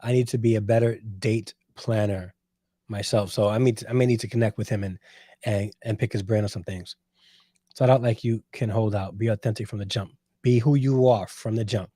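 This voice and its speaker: A man's voice: fairly low pitch, steady tone, brisk, mellow, no room sound. A man in his forties hosting a casual livestream, reading chat and answering with playful, teasing banter. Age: 40s. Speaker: host reading live chat.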